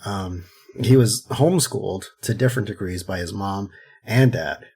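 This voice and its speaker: A man in his thirties co-hosts a casual podcast in a husky voice. He jokes around goofily and then reads through background facts. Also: on the low side, moderate pace, a little mellow. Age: 30s. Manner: goofy, joking. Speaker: podcast co-host. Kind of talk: casual chat and reading history.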